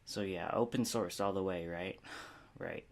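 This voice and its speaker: Low-voiced casual guy reviewing stuff. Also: measured pace, gravelly. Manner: rambling, self-deprecating, informal. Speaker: app review video host. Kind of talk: casual unscripted app review.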